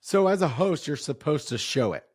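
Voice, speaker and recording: A young man co-hosting a casual sports podcast. His voice is hoarse and raspy, and he talks in a laid-back, self-deprecating way. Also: a little high, sing-song, normal speed, a little mellow. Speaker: podcast co-host.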